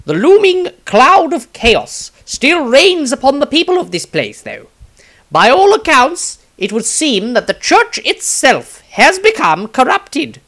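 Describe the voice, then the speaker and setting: Excitable, wildly swooping wizard. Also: male voice, extremely high pitch, a little slow, voice pushed up, exaggerated voice. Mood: excited, scornful. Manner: theatrical, exclamatory, swooping. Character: excitable, opinionated male wizard, scornful of religion. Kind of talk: fantasy storybook diary reading.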